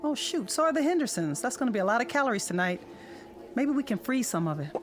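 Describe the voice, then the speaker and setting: A woman's voice: fairly high pitch, big pitch swings, fast talker. An actress playing a chatty, easygoing middle-aged suburban wife and mother in a modern radio drama, with a breezy, colloquial manner.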